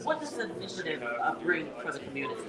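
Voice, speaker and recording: A woman's voice: deep voice, sing-song, quick pace, crowd noise. A woman hosting an on-location interview, speaking in an upbeat, emphatic way. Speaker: event interviewer and podcast host. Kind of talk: on-location interview, switching between English and Creole. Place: fundraiser event venue. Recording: echoey room with loud music.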